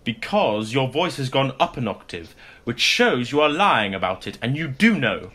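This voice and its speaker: An actor playing a pompous, fussy, excitable posh man who stammers, in a comedy audio drama. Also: medium pitch, normal speed. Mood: suspicious.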